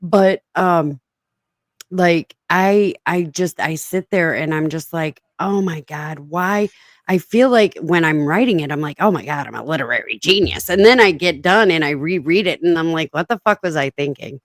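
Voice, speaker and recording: A woman's voice: low voice, normal speed, dry recording, clean studio sound. A woman with a smoky voice chatting casually as a podcast host, self-mocking and laughing often. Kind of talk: casual chat.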